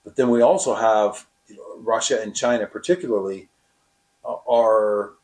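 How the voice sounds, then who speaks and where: A man explaining his experience as a radio operator in an interview, with halting, deliberate phrasing. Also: lowish voice, darkish tone, poor audio.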